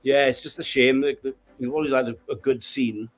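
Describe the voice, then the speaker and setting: A man's voice: mid-range voice, fairly even intonation, a little fast. A man from Wales with a Welsh accent, a band member talking earnestly as a guest in an interview. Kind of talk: casual interview chat.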